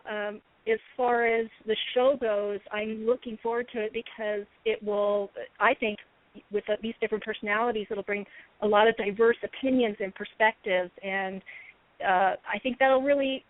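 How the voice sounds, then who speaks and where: A woman's voice: medium pitch, fairly even intonation, normal speed. A woman from the US East Coast, co-hosting a paranormal talk show, speaking earnestly in long rambling sentences. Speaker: co-host. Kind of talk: casual radio chat. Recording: tinny phone-like line.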